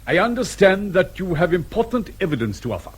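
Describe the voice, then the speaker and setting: Police colonel, highish husky voice, sceptical, suave, sarcastic, formal, radio drama. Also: male voice, big pitch swings, a little fast, heavily acted. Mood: courteous. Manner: formal, precise, sardonic. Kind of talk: British radio drama acting.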